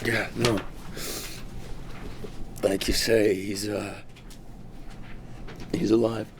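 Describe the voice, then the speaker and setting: A man's voice: on the low side, little pitch movement, very slow, creaky, background noise, heavily acted. A man playing a subdued, numb and resigned young man in a script table read.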